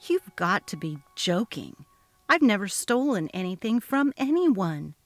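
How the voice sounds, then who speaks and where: She is a mild, courteous office employee played by a woman narrator, guarded around a bullying boss. The delivery is plain-spoken and unhurried in a dramatic story reading, over music. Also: mid-range voice, sing-song, no room sound. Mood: startled.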